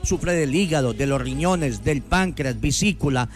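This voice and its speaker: A man with a fairly high voice runs a radio promo for a faith healer. He pitches in a sing-song lilt and rattles off phone numbers. Soft music plays under his voice. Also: lively intonation, unhurried. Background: soft background music.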